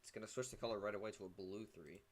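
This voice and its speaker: Young male caster, low monotone voice, relaxed and halting delivery. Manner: relaxed, hesitant, many fillers. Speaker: play-by-play commentator. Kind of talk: online game commentary.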